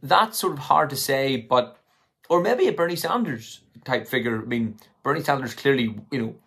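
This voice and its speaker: A man in his twenties with a slightly hoarse voice, talking casually and tentatively to camera as he thinks through his commentary. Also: medium pitch, moderate pace, fairly bright tone. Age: 20s. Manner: tentative, hedging, thinking aloud. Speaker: political commentary vlogger. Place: small room at home, indoors.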